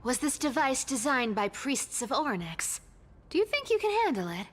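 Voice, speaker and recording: A woman's voice: high voice, sing-song, normal speed, big-room sound, soft background music. An actress playing a playful, greedy female thief boss in a video game, with a teasing, theatrical delivery. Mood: sly teasing. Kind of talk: video game character acting.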